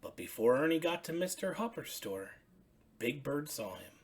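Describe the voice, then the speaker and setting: A woman storyteller reading a children's bedtime story expressively, in simple, deliberate phrases. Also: low-pitched, very animated, husky.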